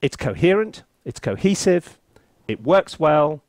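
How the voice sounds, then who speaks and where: A man chatting casually as he hosts a software review, with an animated, theatrical and self-mocking manner. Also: fairly high pitch, measured pace, no echo. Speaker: composer and reviewer, video host.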